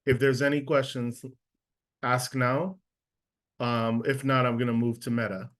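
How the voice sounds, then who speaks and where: A man's voice: mid-range voice, fairly even intonation, unhurried, a bit bright. A man teaching a casual live trading lesson over a video call, checking in often with his listeners. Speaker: trading instructor.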